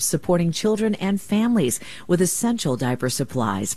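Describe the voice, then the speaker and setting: A woman's voice: low-pitched, darkish tone, no room sound. A woman radio host making a chatty, enthusiastic pledge-drive appeal from a studio microphone.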